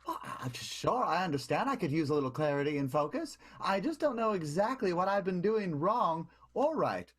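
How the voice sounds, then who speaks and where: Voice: medium pitch, big pitch swings, moderate pace, hardly any pauses, breathy edge. An actor playing a flaky, self-deprecating oddball schemer in an improvised comedic radio play, rambling with melodramatic earnestness. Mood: earnest, muddled.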